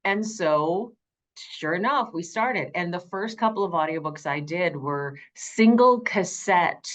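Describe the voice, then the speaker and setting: A woman's voice: lively intonation, unhurried. A middle-aged woman with an alto voice, telling her story emphatically as an interview guest. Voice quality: low alto, changed by vocal nodules. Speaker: guest, audiobook narrator.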